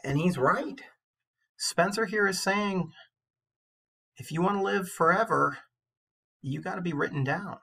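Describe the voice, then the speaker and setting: Male English teacher, forties, forceful and a little husky, conversational lecture delivery with earnest emphasis. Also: high-pitched, unhurried, long pauses. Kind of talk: informal literature lecture.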